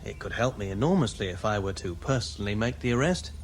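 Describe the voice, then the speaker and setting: An actor playing a scheming, wheedling spaceship officer with a smooth-talking, conniving manner in a radio science fiction drama. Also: male voice, lowish voice, animated, quick pace, a little hiss or hum.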